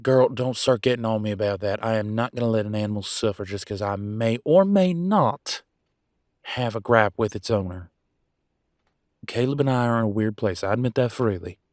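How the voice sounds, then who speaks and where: A man's voice: lowish voice, moderate pace, darkish tone, no echo, studio quality, close to an everyday voice. A man playing a proud, wounded werewolf husband and country vet in a roleplay audio drama, with a drawl and a folksy, bitter, raw way of talking. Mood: indignant, angry. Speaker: voice actor. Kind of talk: dramatic roleplay audio, one side of a couple's argument.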